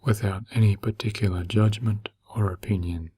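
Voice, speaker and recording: Low, husky man guiding meditation. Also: steady tone. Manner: slow, gentle, soothing, with long pauses. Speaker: meditation guide. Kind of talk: guided meditation narration.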